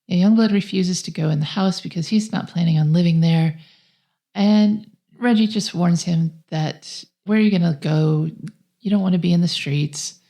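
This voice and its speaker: A woman's voice: on the low side, moderate pace, creaky, dark tone. A woman co-hosting a podcast, recapping a film's story in a conversational way. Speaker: podcast co-host. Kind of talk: conversational film plot recap.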